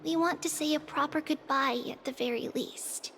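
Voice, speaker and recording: A woman's voice: sits high, normal speed, a little hiss or hum. A woman voicing an earnest, childlike little girl seer in a video game. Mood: wistful, concerned. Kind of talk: scripted video game voice acting. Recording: loud background music. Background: music.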